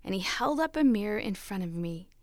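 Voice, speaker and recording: A woman's voice: mid-range voice, expressive, a little fast, darkish tone, no echo, close to an everyday voice. A woman voicing a dramatic talking teacup. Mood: awed. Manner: breathless, pleading storytelling.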